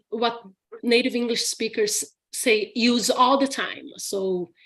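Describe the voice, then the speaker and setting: A woman speaking English with a Brazilian accent, answering viewer questions as a teacher at an easy, slow pace. Her voice sits at an ordinary middle pitch. She talks in a chatty way, laughs often and keeps checking in with "okay?". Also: fairly bright tone. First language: Portuguese. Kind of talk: live Q&A session.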